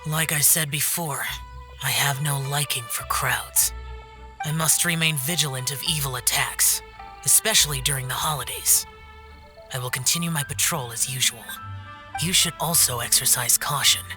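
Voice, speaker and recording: A man's voice: medium pitch, moderate pace, lightly acted. A man voicing a terse, aloof young guardian who slays demons, in a game cutscene. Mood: cold. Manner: terse, grave, distant. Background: game music.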